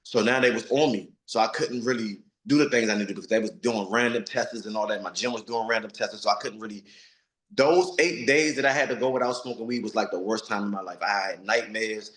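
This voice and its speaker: A man's voice: fairly low pitch, brisk, fairly bright tone, roomy sound, low-quality recording. A man of forty, a retired boxer, giving blunt, streetwise interview answers and repeating himself for emphasis. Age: 40s.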